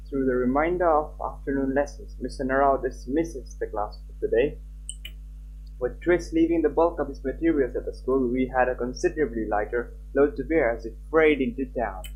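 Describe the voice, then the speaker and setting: A man live-streaming a game, reading aloud and chatting, halting at times and stumbling over his words. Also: mid-range voice, dull audio, a little hiss or hum. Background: game music.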